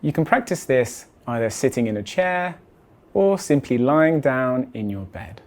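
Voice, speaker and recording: A man guides a body scan meditation at a mid-range pitch. His delivery is measured and deliberate, and his phrases settle softly at the ends. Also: animated.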